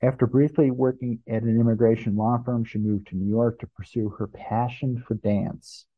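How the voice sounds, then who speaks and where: A man's voice: mid-range voice, fairly even intonation, normal speed, slightly rough, no echo, phone-quality sound. A man hosting an interview podcast, introducing a guest and asking questions.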